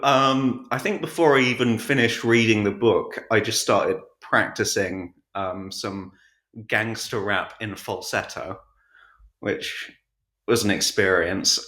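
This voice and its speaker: A man's voice: lowish voice, unhurried, a bit bright. A man being interviewed about his audiobook narration, chatting casually in a self-deprecating, jokey, slightly hesitant way. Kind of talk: casual podcast chat. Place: untreated room at home. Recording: roomy home-recorded echo.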